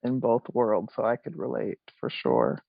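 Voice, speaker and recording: A man hosting a podcast, asking measured, thoughtful interview questions. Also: mid-range voice, big pitch swings, vocal fry, slightly rough, no room sound. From USA. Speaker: podcast host. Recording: dry, dull lo-fi recording.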